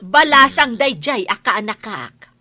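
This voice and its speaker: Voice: mid-range voice, lively intonation, unhurried, sharp tone. A performer with an Ilocano accent playing an older village mother who minds a store in an audio drama. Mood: casual, emphatic. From Philippines.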